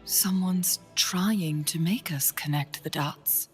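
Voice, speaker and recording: An actress playing a composed, thoughtful young female strategist in a game, speaking calmly with a soft breathy edge. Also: lowish voice, faint music behind. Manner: calm, measured, softly breathy. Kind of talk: video game cutscene dialogue.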